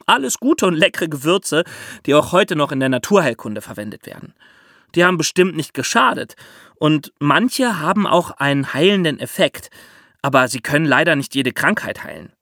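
A man hosting a podcast, explaining step by step in lively storytelling for children with theatrical emphasis. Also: fairly high pitch, moderate pace, no echo, clean studio sound.

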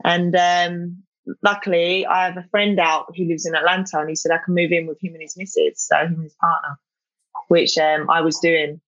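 A woman's voice: lowish voice, flat delivery, moderate pace, fairly bright tone, dry recording, lo-fi sound. Chatty woman with a South East London accent. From England. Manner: chatty, many fillers and restarts. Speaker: interview guest, wrestler. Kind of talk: casual video interview.

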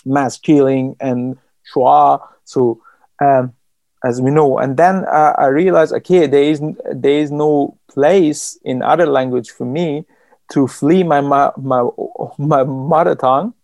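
Guy from iran, persian is his first tongue, gravelly voice, lots of restarts, dry close sound. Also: mid-range voice, steady tone, darkish tone, no echo. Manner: thoughtful, halting, many restarts and fillers. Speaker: writer. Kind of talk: interview.

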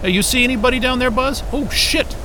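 A man's voice: extremely high pitch, a little fast, sharp tone, slight background noise, poor audio. A man playing an irritable, foul-mouthed astrophysicist at a polar station in a naturalistic audio drama, with a blunt, sarcastic, agitated manner. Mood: alarmed, shouting.

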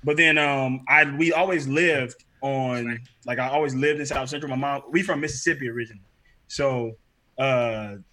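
Thirties guy from LA, bright voice, easygoing chat, laughs a lot. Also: medium pitch, moderate pace. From Los Angeles. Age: 30s. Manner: laid-back storytelling, laughs often. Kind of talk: casual chat.

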